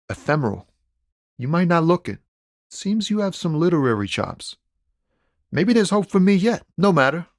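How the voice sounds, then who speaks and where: Game role: male actor as easygoing trucker-poet, mid-pitched, wry and musing, slangy, close dry recording. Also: dry recording, natural voice. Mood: warm, appreciative. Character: laid-back male lorry driver, wry amateur poet, stranded and philosophical. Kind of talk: video game character dialogue.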